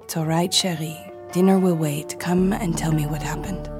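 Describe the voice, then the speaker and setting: A woman voicing a gentle, caring French wife in an audiobook, speaking softly and with concern. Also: low voice, fairly even intonation, moderate pace, music in the background, lightly acted. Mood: soothing, tender. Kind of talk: audiobook character dialogue.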